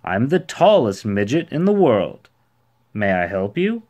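A man voicing a proud, cheery storybook man who declares things with bright self-importance. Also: lowish voice, very animated, measured pace, exaggerated voice. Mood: proud, cheerful. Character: proud, cheery, impatient ordinary man posing as a giant. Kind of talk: storybook character voice.

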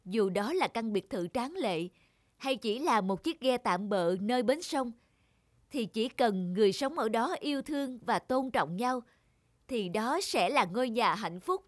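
A woman's voice: on the high side, lively intonation, a little slow, dry recording, clean studio sound. A woman voicing an earnest, polite young male architecture student in a cartoon moral tale, with neat enunciation. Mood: earnest, enlightened. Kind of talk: animated moral tale dubbing.

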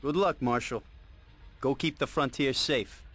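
A man's voice: medium pitch, measured pace, fairly bright tone, faint music behind, natural voice. A man playing a cocky, enthusiastic military weapons trainer in scripted game tutorial dialogue. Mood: pleased, friendly. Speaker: weapons instructor character. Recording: noisy game audio with gunfire ambience.

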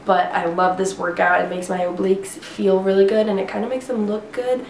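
A woman's voice: mid-range voice, little pitch movement, brisk, slight background noise. A young woman in her twenties, congested, chatting casually to camera at home about workouts, rambling with lots of fillers. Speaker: fitness vlogger. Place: living room at home. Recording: echoey room.

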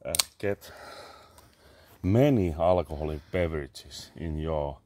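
Slow, deep Finnish-accented man. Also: background noise. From Finland. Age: 30s. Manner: halting, many "uh" fillers, swearing, sipping a drink. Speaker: vlogger. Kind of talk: casual rambling selfie vlog. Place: garden among trees, outdoors. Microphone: phone held at arm's length.

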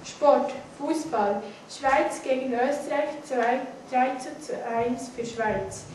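High-voiced teenage girl reading slowly. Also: darkish tone. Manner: careful reading with stumbles over words. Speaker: student news presenter. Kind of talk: read-aloud news bulletin. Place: indoor school classroom, seated at a desk. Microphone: distant camera microphone. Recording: echoey classroom, distant mic, faint noise.

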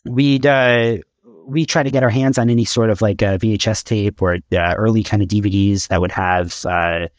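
Canadian guy around 30, lower voice, lots of ums, dry video call sound. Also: fairly even intonation, moderate pace, dry recording. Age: 30s. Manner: thoughtful, hesitant, many fillers and restarts. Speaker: guest. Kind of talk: casual interview talk. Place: home room with shelves of CDs. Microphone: headphones, video call.

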